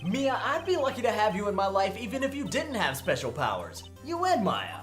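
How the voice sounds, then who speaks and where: A man plays an excitable young male defense lawyer from a visual novel game. The delivery is jokey and earnest, with widely swinging pitch. The room rings a little and soft music sits behind. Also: fairly quick, reverberant, full character voice. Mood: earnest, pleading. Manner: wisecracking, dramatic, theatrical.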